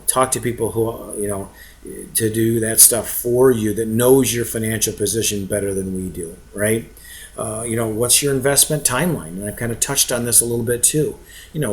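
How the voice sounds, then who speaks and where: Conversational man explaining to prospective investors. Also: medium pitch. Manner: conversational, frequent rhetorical check-ins. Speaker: real estate investment presenter.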